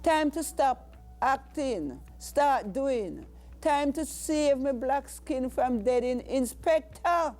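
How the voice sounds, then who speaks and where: A woman with a Jamaican accent reciting spoken-word poetry in a deliberate, dramatic way. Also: high-pitched, expressive, darkish tone. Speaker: poet.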